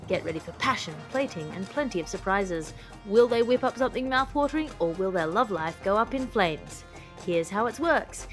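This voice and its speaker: A woman's voice: mid-range voice, expressive, normal speed, soft background music, natural voice. A woman voicing a gushing, hyped-up narrator in a theatrical parody trailer for a reality cooking show. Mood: hyped, dramatic.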